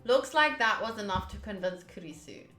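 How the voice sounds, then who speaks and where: A woman's voice: medium pitch, animated, normal speed, a bit bright, reverberant, soft background music. A young woman hosting a game livestream from a room at home, chatting casually with her viewers. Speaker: streamer, host of a game playthrough. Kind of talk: casual livestream chat and commentary.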